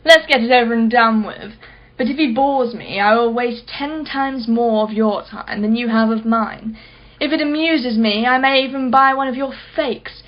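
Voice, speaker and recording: Radio drama casting: wealthy female collector played by a woman, higher-pitched, imperious, sardonic and sceptical, slightly muffled recording. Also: normal speed, natural voice. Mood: sceptical, mocking. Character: haughty, sharp-tongued wealthy female art collector, sceptical. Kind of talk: radio play acting.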